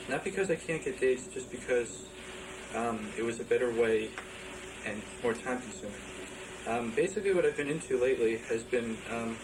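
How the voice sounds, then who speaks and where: Give the halting voice of an earnest, morbid oddball as a man acts him out in a comic dating video. He is hesitant and awkward, at a middle pitch. The mic is distant and there is clear noise behind him. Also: natural voice.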